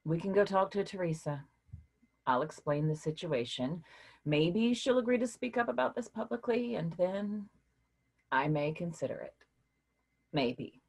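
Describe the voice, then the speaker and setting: A woman playing a weary but caring literature professor in a staged reading, speaking in a measured, hesitant, reassuring way with a husky voice. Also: lowish voice, lively intonation, darkish tone, heavily acted. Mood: cautious, measured.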